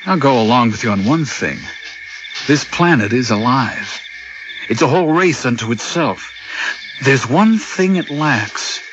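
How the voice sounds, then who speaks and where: An actor playing a good-natured, curious leader of a space crew in a radio drama. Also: male voice, mid-range voice, expressive, a little slow, lightly acted. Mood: musing. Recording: muffled radio recording with noise.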